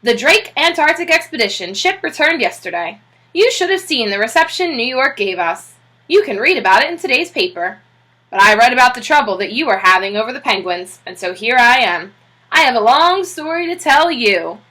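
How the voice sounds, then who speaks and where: A woman's voice: a little high, big pitch swings, moderate pace, pushed and bright. A woman voicing a hearty, emphatic bearded admiral in a children's storybook. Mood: hearty, confident. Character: Admiral Drake, great bearded hearty explorer man.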